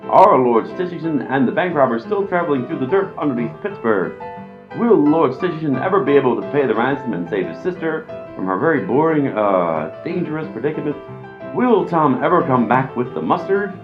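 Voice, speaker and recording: Parody serial announcer, male performer: mock-dramatic, lively swooping delivery, music underneath. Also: medium pitch, a little fast, lightly acted. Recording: dull lo-fi audio.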